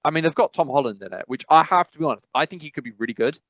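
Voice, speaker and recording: A man's voice: a little high, lively intonation, rapid-fire, a bit bright, no room sound, dull audio. A man co-hosting a podcast in casual chat, rambling and often correcting himself mid-sentence. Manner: rambling, self-correcting, frequent "I must be honest". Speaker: podcast co-host.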